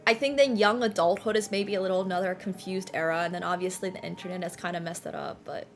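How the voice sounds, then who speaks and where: A woman's voice: fairly low pitch, fast, vocal fry, faint music behind. Chatty young woman streamer, Finnish native. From Finland. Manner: chatty, laughs often, lots of "like" and "bro". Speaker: livestreamer. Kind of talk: casual stream chat.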